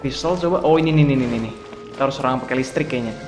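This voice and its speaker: A man's voice: medium pitch, rapid-fire. A young man with an Indonesian accent giving casual gameplay commentary as a streamer. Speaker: game streamer. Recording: echoey reverberant voice over game music. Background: game music.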